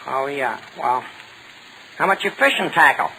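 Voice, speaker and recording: A man's voice: a little high, very animated, measured pace, exaggerated voice. A man playing a hapless, blundering teenage boy with an American accent, a cracking voice and a hesitant, stammering manner, in 1940s radio comedy style. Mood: nervous, bumbling. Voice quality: cracking, creaky adolescent voice. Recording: hissy vintage 1940 radio recording.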